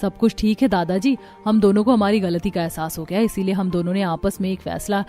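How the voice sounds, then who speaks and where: A woman's voice: mid-range voice, fairly even intonation, a little fast, warm tone, faint music behind, natural voice. A woman voicing a young daughter-in-law in a cartoon family story, with an emphatic, earnest delivery. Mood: reassuring.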